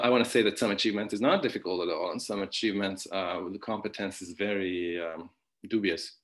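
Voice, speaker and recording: A man's voice: lowish voice, fairly bright tone. A man whose first language is Hungarian, giving an online philosophy conference talk with many restarts, fillers and wry asides. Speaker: philosophy lecturer.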